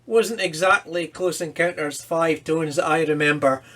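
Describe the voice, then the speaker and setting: A man with a somewhat high voice gives a casual demonstration at his workbench. He talks informally, thinking aloud as he works through a repair. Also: moderate pace, fairly bright tone. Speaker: presenter of a hobby electronics repair video. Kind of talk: casual hands-on demonstration commentary.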